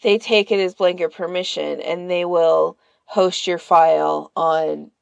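Creaky-voiced woman explaining things casually. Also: lowish voice, steady tone, measured pace, no echo. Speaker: podcast host.

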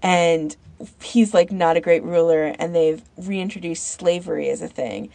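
A woman on a podcast panel chatting casually in an informal, halting way, as if thinking aloud. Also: fairly low pitch, vocal fry, dark tone, no room sound. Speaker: podcast panellist recommending fan audio fiction. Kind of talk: casual chat.

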